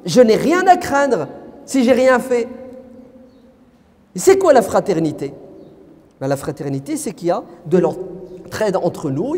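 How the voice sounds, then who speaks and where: A high, animated male voice with a mellow tone, preaching to an audience and constantly throwing rhetorical questions back at them. He grew up in France, and his first language is Berber. The sound carries a long reverb from a lecture hall. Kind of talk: lively lecture sermon. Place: lecture hall of an Islamic centre.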